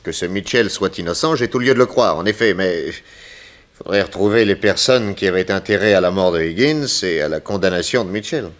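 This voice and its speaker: An actor playing an inquisitive, methodical investigator in a 1960s French radio drama, probing and decisive. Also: male voice, low voice, brisk, lightly acted.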